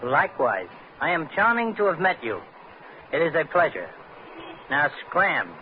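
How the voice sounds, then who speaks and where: A man's voice: fairly high pitch, sing-song, a little slow. An actor playing a dim-witted hoodlum henchman in an old radio drama, making blunt threats in mangled grammar with pretensions of politeness. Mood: menacing politeness. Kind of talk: 1940s-50s radio acting. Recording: dull, noisy old radio recording.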